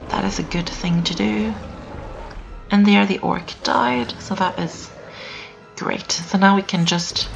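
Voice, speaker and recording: Hoarse, gravelly-voiced young woman gamer. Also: on the low side. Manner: chatty, repeats herself, lots of "um" and "so". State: hoarse from just waking up. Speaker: gameplay commentator. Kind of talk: casual let's-play commentary. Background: builders' noise outside.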